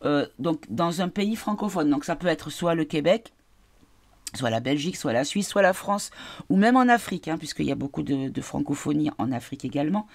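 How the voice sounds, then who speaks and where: A woman giving a casual card reading, tired and congested from a cold, halting as she searches for her words. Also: sits low, animated. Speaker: card reader.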